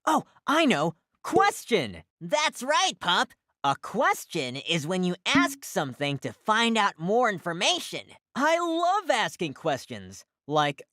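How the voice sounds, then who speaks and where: A woman's voice: mid-range voice, a bit bright, no echo, clean studio sound. A woman voicing a cheerful, curious cartoon character for young children, speaking in an exaggerated, sing-song teaching manner. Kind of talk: scripted children's educational cartoon dialogue.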